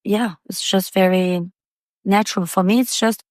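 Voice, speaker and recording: A woman's voice: mid-range voice, fairly even intonation, moderate pace, darkish tone, no room sound, studio quality. A woman from Paris, France, chatting casually as a guest on a podcast. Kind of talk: casual chat.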